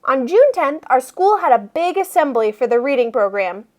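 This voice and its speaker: A woman reading a children's picture book aloud expressively, with deliberate phrasing for young listeners. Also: sits high, sing-song, darkish tone. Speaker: read-aloud storyteller.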